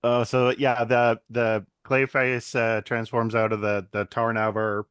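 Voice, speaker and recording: A man's voice: medium pitch, clear, resonant tone, no room sound, studio quality. A man co-hosting a podcast, casually recapping an episode and briefly acting out characters. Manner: relaxed storyteller, acts out characters in quick voices. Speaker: podcast co-host.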